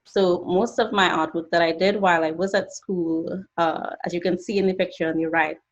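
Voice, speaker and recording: A woman in her twenties from Trinidad and Tobago, giving an earnest, explanatory talk about her work over a video call from her home studio. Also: on the low side. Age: 20s. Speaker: guest artist. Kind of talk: presentation talk. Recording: dull lo-fi call audio.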